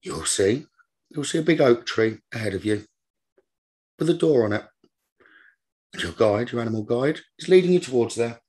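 A man leading a guided meditation as a teacher, giving gentle, repetitive instructions in a slow manner. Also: medium pitch, animated, slightly rough.

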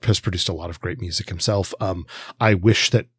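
Male co-host for music discussion, slightly deep voice, thoughtful and halting, close dry recording. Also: steady tone, breathy edge, dry recording. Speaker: podcast co-host. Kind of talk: conversational music review discussion.

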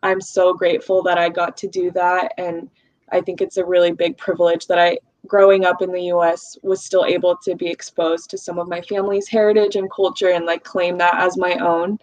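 A woman's voice: on the low side, little pitch movement, a little fast, a little mellow, no room sound. A young adult woman from the US, whose first language is Russian, talking reflectively in a casual podcast chat with lots of fillers. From United States. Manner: reflective, uses many fillers like "like" and "um". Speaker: guest. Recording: dull, dry, lo-fi sound.

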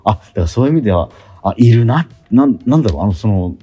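Guy on a radio show, mellow low voice, kinda fumbling for words, music behind him. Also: animated, breathy edge, dull audio. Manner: halting, searching for words, repeating himself. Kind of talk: casual promotional chat about a stage play.

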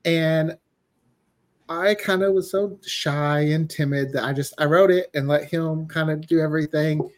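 A man's voice: a little high, steady tone, a little slow. Male writer guest chatting casually. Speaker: guest, audio drama writer. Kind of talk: casual chat, recounting.

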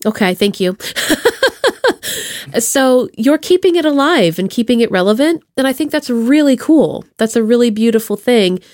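A woman's voice: fairly high pitch, animated, moderate pace, a little mellow, no room sound, clean studio sound. A woman hosting a podcast in a casual, chatty interview style, enthusiastic and playful as she talks with her guest.

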